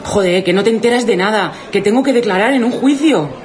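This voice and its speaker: A woman playing a scatterbrained, excitable young woman from Spain with a Spanish accent, slangy and full of repeated exclamations. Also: mid-range voice, fairly quick, some chatter behind, close to an everyday voice. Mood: agitated. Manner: breathless exclamations, slangy repetition.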